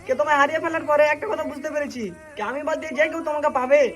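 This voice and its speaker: A cheeky village kid from a comedy cartoon, acted by a performer. The voice sits high and sounds artificially pitched, rattling along quickly through banter. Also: fairly even intonation, lightly acted. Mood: mock heartfelt. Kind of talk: cartoon comedy skit dialogue.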